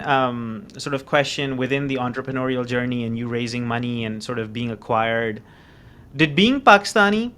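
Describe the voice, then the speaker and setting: A man hosting a podcast interview, speaking in an animated, halting way and often repeating words. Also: mid-range voice, clean tone. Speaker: podcast host. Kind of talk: conversational interview.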